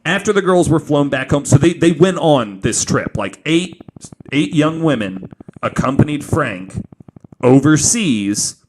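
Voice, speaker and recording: A man's voice: a little high, expressive, unhurried, noisy background. A man hosting a podcast, telling a story in an informal, joking way with some swearing. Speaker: podcast host telling a true crime story. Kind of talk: casual storytelling from notes.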